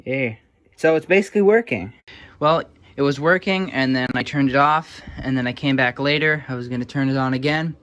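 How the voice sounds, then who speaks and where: A man's voice: medium pitch, fairly quick, a bit bright, clear, resonant tone. A man giving a casual, informal narration of hands-on repair work, thinking aloud a little hesitantly as he goes. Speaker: hobbyist restorer presenting a repair.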